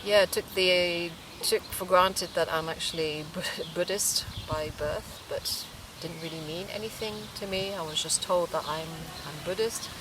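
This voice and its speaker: A middle-aged woman from the UK, answering interview questions thoughtfully, pausing and restarting often as she searches for words. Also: on the low side. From United Kingdom. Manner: hesitant, many pauses and restarts, thoughtful. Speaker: documentary interviewee. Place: outdoors in a city park or street. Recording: distant mic with outdoor noise. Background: city ambience, birds, passing traffic.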